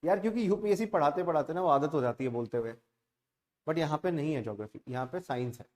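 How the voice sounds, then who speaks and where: A man's voice: fairly high pitch, fast talker, a little mellow. A man with an Indian accent giving an informal online exam-prep lecture as a coaching teacher, conversational and emphatic, switching between Hindi and English.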